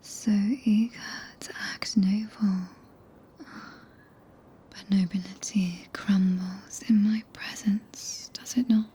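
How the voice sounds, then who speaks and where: A woman with a British accent playing a tender, possessive, regal witch in a soft breathy ASMR whisper, with archaic, stately phrasing. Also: medium pitch, level tone, takes it slowly. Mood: tender, commanding. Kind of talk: ASMR roleplay. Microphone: close binaural microphone.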